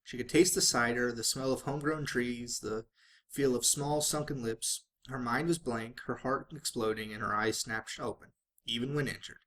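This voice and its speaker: A man's voice: medium pitch, fairly even intonation, moderate pace, fairly bright tone. A man reading fan fiction aloud as a dramatic narrator, sometimes stumbling and rereading phrases.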